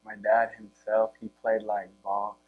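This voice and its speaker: A teenage young man with a Congolese accent answering interview questions casually and haltingly, in informal speech. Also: on the low side, monotone, clean tone, soft background music. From Democratic Republic or Republic of the Congo. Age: teens. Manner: halting, informal, leans heavily on "like". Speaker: high school football player being interviewed. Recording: muffled, with faint electrical hum.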